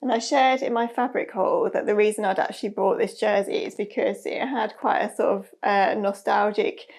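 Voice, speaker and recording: A woman in her thirties talking casually to camera about her sewing, a little hoarse and nasal from a cold, friendly and chatty. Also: medium pitch, steady tone, normal speed. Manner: chatty, friendly, with soft laughs. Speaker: sewing vlogger. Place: bright room with a sewing machine and shelves. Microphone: lapel mic.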